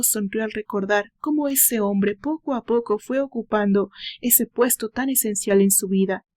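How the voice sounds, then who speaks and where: A woman's voice: medium pitch, darkish tone. A woman reading scripted, dramatic story narration. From Mexico.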